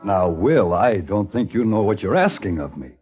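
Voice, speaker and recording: An actor playing a thoughtful small-town minister who speaks in a measured, reflective way in a vintage radio drama. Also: male voice, lowish voice, big pitch swings, music in the background. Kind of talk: 1950s radio acting. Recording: dull lo-fi old radio broadcast.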